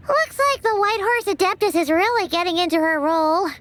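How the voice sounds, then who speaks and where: Squeaky, childlike little fairy companion. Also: female voice, normal speed, a bit bright. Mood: chatty, perky. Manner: refers to self in third person, perky. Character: tiny chirpy floating fairy companion, childlike, excitable. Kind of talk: video game character acting. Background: background music.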